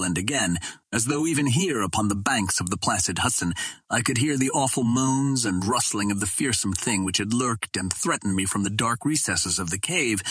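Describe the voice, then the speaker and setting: A man reading an old adventure novel as an audiobook narrator, in a measured, dramatic storytelling manner. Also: fairly low pitch, a bit bright, husky, no room sound.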